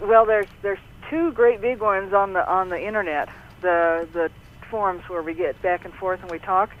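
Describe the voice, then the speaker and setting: A woman fan on the phone, chatting eagerly with a few halting repeats. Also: mid-range voice, steady tone. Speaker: fan club member on the phone. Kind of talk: casual phone chat. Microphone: phone line. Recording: tinny phone line.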